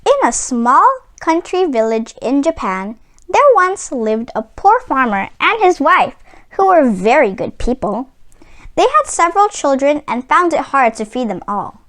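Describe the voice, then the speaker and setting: A woman reads a storybook aloud for children in a very high voice. Her pitch moves widely and vividly from phrase to phrase. The delivery is expressive and deliberate, clearly that of a storyteller reading from a script.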